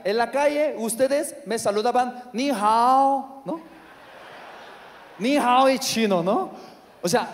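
High-voiced Korean-accented man on stage. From South Korea. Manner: halting non-native Spanish, filler-heavy, sudden shouted outbursts. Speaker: stand-up comedian. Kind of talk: stand-up comedy set. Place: large theater stage. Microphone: handheld mic. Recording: live hall reverb with audience.

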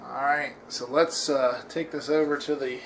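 A man hosting a casual home cooking demo in his kitchen, talking in a relaxed, rambling way with lots of fillers and restarts. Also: mid-range voice, unhurried, gravelly, noisy background. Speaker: cooking channel host.

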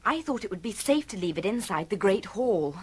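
A woman's voice: medium pitch, very animated, fairly quick, slightly rough. An actress playing an earnest, anxious young woman with an English accent in a radio play. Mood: distressed. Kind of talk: 1980s radio drama acting.

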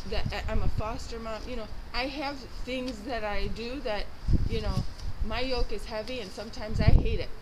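Middle-aged woman, conciliatory and sincere, repeats herself; heard outdoors through loud noise on someone else's body camera. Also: on the high side, normal speed, hardly any pauses. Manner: earnest, conciliatory, repeats phrases. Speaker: churchgoer. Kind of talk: casual street conversation. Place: outdoors on church steps in a city street. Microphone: body-worn camera worn by another person, a few steps away.

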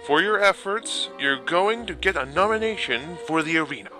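A man's voice: on the high side, lively intonation, normal speed, sharp tone, soft background music. A man voicing an irritable, cowardly, scheming quest-giver in a comic fantasy game dub. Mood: exasperated.

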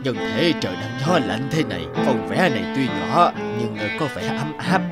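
A man's voice: medium pitch, sing-song, normal speed, loud music behind the voice, natural voice. A man voicing a stingy, haughty rich businessman in a cartoon, muttering and blustering. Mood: musing. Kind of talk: animated moral tale dubbing.

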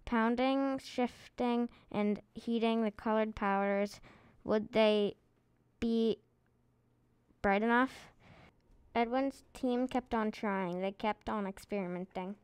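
Female reading a picture book out loud, super clear, dry recording. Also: a little high, slow pace, dry recording. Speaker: reader of a children's book. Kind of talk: scripted read-aloud narration.

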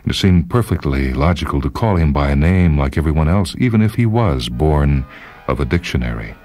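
Extremely deep-voiced male storyteller. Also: expressive, brisk, darkish tone. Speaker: story reader. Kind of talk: dramatic literary narration. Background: music.

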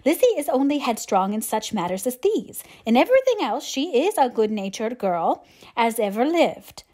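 A woman's voice: fairly high pitch, sing-song, moderate pace, dark tone, voice pushed up. A woman voicing a flustered, pleading middle-aged mother in an audiobook, agitated, exclamatory and insistent. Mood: alarmed. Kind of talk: audiobook character acting.

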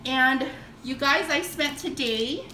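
A woman's voice: high-pitched, takes it slowly, sharp tone, a little hiss or hum. Chatty woman in an echoey kitchen. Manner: chatty, upbeat, lists items with frequent fillers. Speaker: vlogger. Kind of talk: casual grocery haul chat. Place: home kitchen, indoors.

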